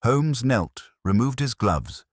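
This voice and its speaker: A man narrating a scripted mystery story in a measured, formal, literary manner. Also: mid-range voice, expressive, no room sound, studio quality. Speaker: audiobook narrator.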